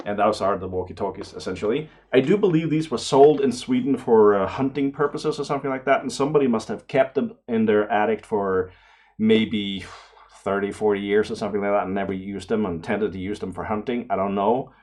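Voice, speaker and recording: A middle-aged man talking casually and excitedly as he hosts an unboxing video, rambling along with frequent exclamations. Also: fairly low pitch, fairly quick. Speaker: video host.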